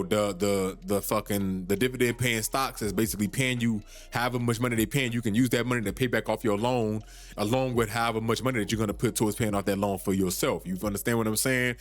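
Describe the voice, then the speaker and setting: A man in his early thirties hosting a podcast, giving casual money advice in a loose, slangy way, with many fillers and restarts. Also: mid-range voice. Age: 30s. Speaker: podcast host. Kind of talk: casual finance talk.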